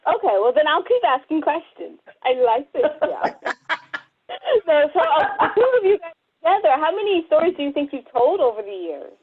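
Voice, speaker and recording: A woman's voice: high voice, sing-song, normal speed. A woman hosting a casual interview in a playful, teasing, bantering way. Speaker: podcast host, interviewer. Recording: tinny phone-like online call audio.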